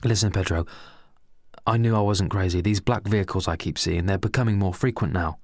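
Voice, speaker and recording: A man's voice: low voice, no echo, studio quality. A man doing a jittery paranoid army nut. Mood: agitated. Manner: anxious, rushed, excitable.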